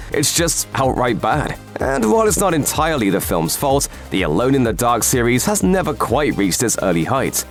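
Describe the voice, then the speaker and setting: A man delivers scripted video essay narration with a sardonic, emphatic edge. His pitch moves around in a lively way as he stresses key words. Music plays quietly underneath. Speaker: voiceover narrator. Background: background music.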